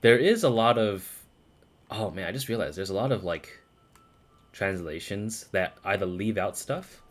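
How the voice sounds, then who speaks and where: Low-voiced man chatting on a game stream. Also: animated, normal speed. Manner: informal, musing, thinking aloud. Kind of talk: casual stream commentary.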